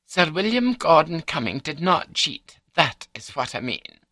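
A man's voice: a little high, very animated, a little slow, bright, forceful tone, dry recording, clean studio sound, voice pushed up, full character voice. A man voicing a prim, scatterbrained elderly Scottish wife in an audiobook, prattling on in an unruffled, matter-of-fact way with a Scottish accent. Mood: placid, confiding. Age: older.

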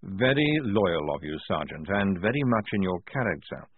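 A man plays an unflappable senior general who speaks slowly and calmly. The voice is low and husky. The sound is dry and tinny, like a phone line. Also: no room sound, full character voice. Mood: measured. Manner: slow, measured, calm. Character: calm, unflappable senior male army general.

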